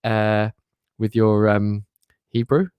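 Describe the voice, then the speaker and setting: A male host with a fairly low voice keeps the talk moving, reading viewer comments in a chatty way. His sound is dry and close, with no room echo. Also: steady tone, takes it slowly, clean tone, highs cut off. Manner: chatty, reads viewer questions. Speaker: interview host. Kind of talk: hosting a live online interview.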